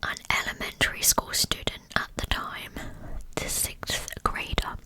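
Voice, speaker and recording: Slow whispering woman, ASMR narration. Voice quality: breathy unvoiced whisper. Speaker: ASMR host narrating true crime.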